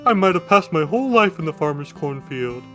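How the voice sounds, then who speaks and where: A man's voice: fairly high pitch, very animated, a little fast, fairly bright tone, pitched above the performer's own voice. A meek scarecrow from a storybook game, voiced by a man. The voice is raised and sounds like a sheepish recital, with music underneath.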